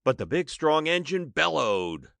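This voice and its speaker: A man's voice: a little high, big pitch swings, measured pace, vocal fry, fairly bright tone, dry recording. A man narrating a children's story expressively, with dramatic emphasis as an audiobook narrator.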